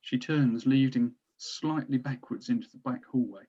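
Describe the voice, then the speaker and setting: A man's voice: medium pitch, normal speed, warm tone, slightly rough. A man narrating stage directions from a drama script, recorded on dull, lo-fi audio.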